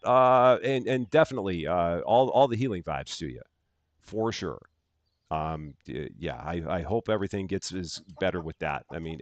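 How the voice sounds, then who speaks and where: A man's voice: low-pitched, dry recording. A middle-aged man from the Chicago area with a general American accent, hosting a live gaming stream and greeting viewers by name in upbeat, casual chat full of fillers and restarts. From Chicago area, USA.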